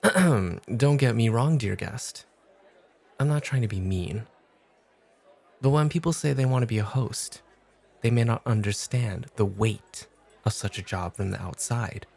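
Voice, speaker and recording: A man playing a cool, exacting off-duty club host who teases and challenges in a measured way, in an ASMR roleplay. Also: mid-range voice, creaky, a little mellow, breathy edge. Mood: challenging. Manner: challenging, measured, coolly teasing.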